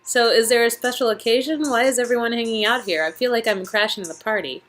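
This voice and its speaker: A woman's voice: fairly high pitch, fast talker, a bit bright, close to an everyday voice. Woman acting as sassy young woman, teasing, casual, playful, romance game dialogue, music behind. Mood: friendly, curious.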